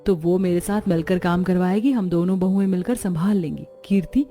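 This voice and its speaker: A voice actress playing a confident, capable elder daughter-in-law in an animated family story. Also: female voice, on the low side, a little fast, soft background music, close to an everyday voice. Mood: reassuring. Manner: confident, reassuring.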